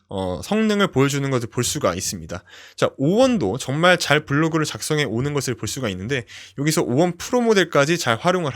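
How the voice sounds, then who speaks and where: A young man hosting a screen-share tech tutorial, explaining step by step with frequent fillers. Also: a little high. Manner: explanatory, lively, many fillers "어" and "뭐".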